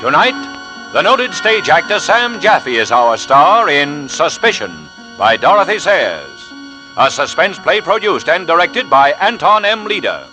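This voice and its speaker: Theatrical, swooping old-time radio announcer. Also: male voice, a little high, very animated, measured pace, poor audio. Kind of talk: dramatic 1940s radio announcing. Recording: vintage radio broadcast with music underneath. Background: music.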